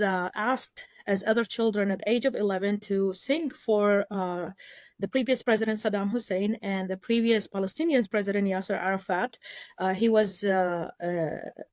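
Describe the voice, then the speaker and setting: A woman in her forties from Iraq, a lawyer telling her life story as a podcast guest in an earnest, measured way with frequent pauses. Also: medium pitch, no echo, clean studio sound. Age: 40s. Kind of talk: interview storytelling.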